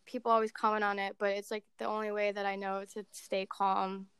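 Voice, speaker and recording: Woman, 20s, podcast guest; flat, level delivery, crisp resonant tone. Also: mid-range voice, fairly quick, no echo. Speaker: podcast guest, assistant director. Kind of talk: casual chat.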